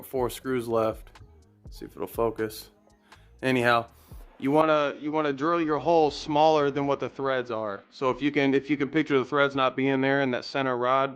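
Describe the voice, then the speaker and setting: A man's voice: medium pitch, clean tone. A man giving a casual hands-on tutorial, rambling a little as he thinks aloud while working. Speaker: DIY car audio vlogger.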